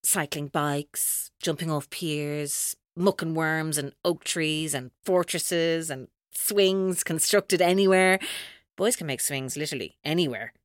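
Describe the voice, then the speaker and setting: A woman's voice: sits low, slow, no echo, clean studio sound. An actress playing a menacing, taunting woman captor in an audio drama, mocking and theatrical, shifting between playful and bitter. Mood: wistful, playful. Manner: theatrical, mocking, mercurial, intense.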